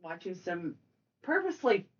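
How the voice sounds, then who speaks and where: Low-voiced woman podcast host, halting delivery. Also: very animated, muffled recording. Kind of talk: casual film discussion.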